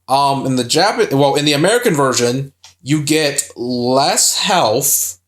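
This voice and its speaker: A man's voice: mid-range voice, expressive, slow pace. A young man co-hosting a casual video game playthrough, rambling and swearing often, with lots of filler words. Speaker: co-host playing a video game.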